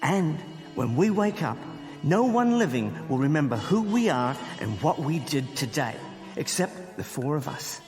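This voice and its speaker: An actor playing a pompous, coolly confident criminal professor in a radio drama, speaking with precise, pedantic formality. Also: male voice, on the high side, very animated, measured pace, darkish tone. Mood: grandly assured. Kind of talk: old-time style radio drama acting. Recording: long hall reverb. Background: faint dramatic music.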